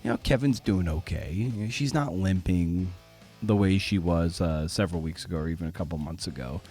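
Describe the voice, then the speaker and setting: A man's voice: deep voice, a little fast, dark tone, soft background music. A middle-aged man hosting a podcast, rambling casually with wry, self-deprecating, sometimes profane candor. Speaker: podcast host.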